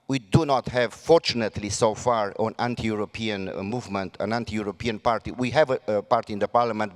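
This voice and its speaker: A man with a Romanian accent, a mayor speaking on a panel, earnest and halting with many fillers and restarts. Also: lowish voice, big-room sound. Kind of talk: panel debate remarks.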